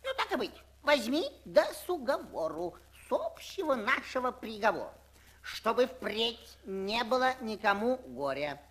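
A man voicing a small young imp on a children's story record, with quick, sly patter. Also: very high-pitched, very animated, a bit bright, heavily acted.

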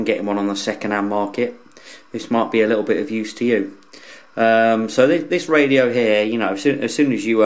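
Male product reviewer, lowish voice, informal and unscripted, plain-spoken, feels his way through sentences. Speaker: radio hobbyist reviewer.

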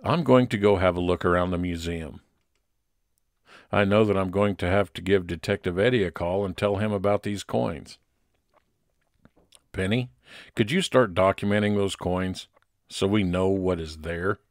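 A man's voice: sits low, moderate pace, long pauses, dry recording, studio quality. A man playing an observant, wry private investigator, matter-of-fact, in old-time radio detective style. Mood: businesslike.

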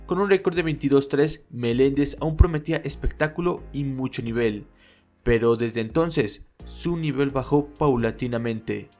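A young man hosting his channel, reading a scripted sports narration in a steady, informative way into a studio microphone in a small room at home. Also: medium pitch, moderate pace, faint music behind. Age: young adult. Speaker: channel host. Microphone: studio microphone on stand.

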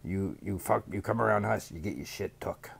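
A man in his thirties putting on a smug rival streamer who cheerfully admits to being a villain, speaking deadpan into a studio mic in a small home studio. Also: deep voice, normal speed, vocal fry, a little hiss or hum. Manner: deadpan mock-confession, sarcastic. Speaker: podcast guest doing a mocking impression. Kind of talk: sarcastic in-character rant. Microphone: studio mic on boom arm, headphones.

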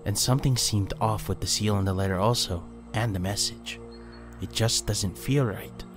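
Man playing a composed, low-voiced ninja. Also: music in the background, natural voice. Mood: troubled. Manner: measured, serious. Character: composed, guarded male ninja warrior. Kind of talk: audiobook character dialogue.

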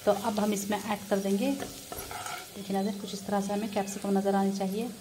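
A woman's voice: medium pitch, flat delivery, normal speed, background noise. Woman vlogging a recipe over frying sizzle. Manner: friendly, addresses viewers as nazreen, frequent religious blessings. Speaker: cooking vlogger. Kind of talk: casual cooking tutorial narration. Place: home kitchen, beside a frying stove.

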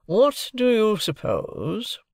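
A man's voice: high-pitched, huge pitch swings, slow pace, darkish tone, no room sound, studio quality, pitched above the performer's own voice, heavily acted. A man voicing a brusque, domineering middle-aged lawyer for an audiobook, with an emphatic, cross-examining manner. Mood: interrogating. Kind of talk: audiobook character dialogue.

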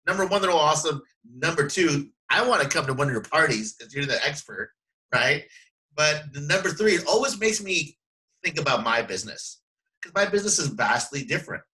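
A man's voice: a little high, lively intonation, a little fast, pushed and bright, rough recording. A middle-aged man hosting an interview over a video call, enthusiastic and emphatic, repeating words for stress. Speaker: podcast host, real estate coach. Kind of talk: casual interview chat. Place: small indoor room. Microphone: webcam video call.

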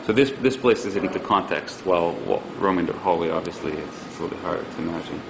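A man's voice: sits low, quick pace. A man giving a live product demonstration, explaining conversationally with some hesitation. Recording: clear room hum and ambient noise.

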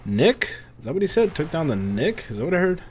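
A man's voice: on the high side, big pitch swings, a little fast, slight background noise. A young man giving casual gameplay commentary, muttering to himself and swearing freely. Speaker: gamer recording a playthrough.

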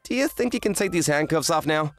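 Lady doing young anime boy, earnest n excitable, low boyish pitch, really animated, romcom dub. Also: fast talker, faint music behind. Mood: flustered. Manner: earnest, exclamatory. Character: earnest, kind teenage boy, excitable manga enthusiast. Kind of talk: anime-style romantic comedy dub.

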